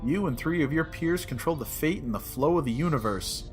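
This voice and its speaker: A man's voice: fairly high pitch, lively intonation, a little fast, natural voice. An actor playing a wry, skeptical male Time Lord adventurer in a sci-fi comedy audio drama, with a dry, sardonic delivery. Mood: pondering. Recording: loud music under the dialogue.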